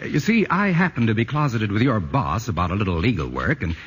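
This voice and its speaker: Wry, swooping lawyer pal. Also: male voice, lowish voice, very animated, brisk, slightly rough, full character voice. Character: dry, amused lawyer friend, man. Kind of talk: 1940s radio comedy acting. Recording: dull old radio broadcast.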